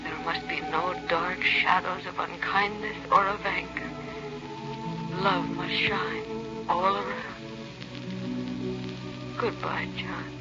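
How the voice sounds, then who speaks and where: A woman's voice: lowish voice, steady tone, takes it slowly, long pauses. An actress playing a tender, solemn young woman in a 1930s radio drama, with a theatrical delivery. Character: tender, solemn young woman bidding her beloved farewell. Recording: old muffled radio broadcast, loud music.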